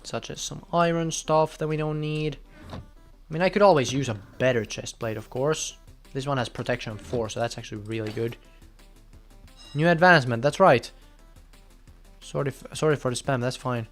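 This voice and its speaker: Excitable young man streaming. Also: mid-range voice, moderate pace, soft background music. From Finland. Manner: chatty, bursts into loud excited outbursts. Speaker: game streamer. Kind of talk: casual live gameplay commentary.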